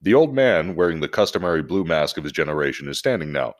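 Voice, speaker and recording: A man with a very low voice hosts a casual podcast. He is jokey and freely profane, sounding like someone swapping stories with friends. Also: big pitch swings, quick pace. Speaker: podcast host. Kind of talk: casual podcast chat and animated story reading.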